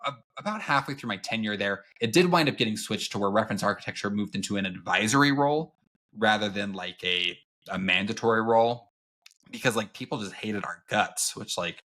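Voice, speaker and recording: A man's voice: brisk, bright, forceful tone. A man co-hosting a book podcast, talking casually with lots of fillers and acting out quotes. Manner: filler-heavy, lots of "like", acts out quotes in a high voice. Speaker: podcast co-host. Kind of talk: casual chat about a book.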